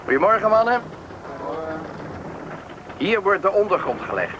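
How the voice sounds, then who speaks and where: An actor playing a helpful, talkative settlement official who politely explains things to newcomers in a Dutch radio play. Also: male voice, high-pitched, big pitch swings. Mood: informative, proud. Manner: explanatory, polite, brisk guide. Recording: old radio play, faint background noise.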